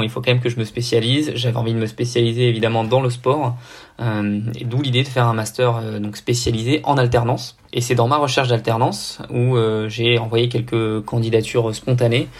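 A young man from the south of France with a neutral French accent, talking casually and explaining things in a hesitant way as a podcast guest. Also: mid-range voice. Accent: neutral French, no regional accent. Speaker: podcast guest, amateur triathlete. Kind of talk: casual interview conversation.

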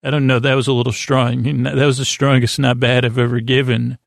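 A man with a creaky voice hosting a sleep podcast, talking in a halting, meandering, lulling way that keeps doubling back on itself. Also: mid-range voice, level tone, dry recording, clean studio sound. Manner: halting, meandering, self-interrupting, gently absurd; soothing, lulling delivery. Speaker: podcast host. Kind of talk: rambling bedtime monologue to help listeners sleep.

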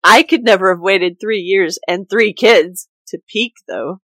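A woman's voice: medium pitch, a little slow, a bit bright, no room sound. A woman hosting a casual, partly scripted podcast discussion in a jokey, informal way. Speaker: podcast host.

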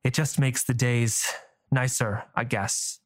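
A man's voice: medium pitch, fairly even intonation, slow pace, dry recording, clean studio sound, close to an everyday voice. A man voicing a nervous young male warden who talks stiffly and stammers when flustered.

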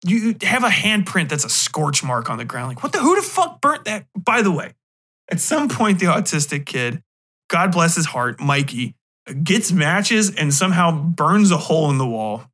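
A man's voice: a little high, big pitch swings, normal speed, pushed and bright. Exasperated man ranting casually. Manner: sarcastic, exasperated, emphatic, swearing freely. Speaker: podcast host. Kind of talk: casual profane movie rant.

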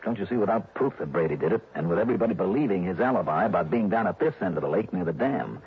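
Low-voiced shrewd American radio detective. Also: male voice, lively intonation, very fast, husky, exaggerated voice. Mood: intent, scheming. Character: shrewd, determined male insurance investigator, coolly scheming. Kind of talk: 1960s American radio drama acting. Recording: muffled, noisy old radio recording.

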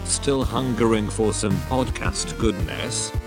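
A male announcer reads a playful scripted podcast sign-off. He speaks slowly in a fairly low voice, with music clearly playing behind him. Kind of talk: playful scripted outro narration.

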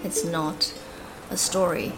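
A woman born in Hong Kong and raised in Australia, answering interview questions in a calm, thoughtful, soft-spoken way. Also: fairly low pitch, takes it slowly, vocal fry, loud music behind the voice, low-quality recording. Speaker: guest artist.

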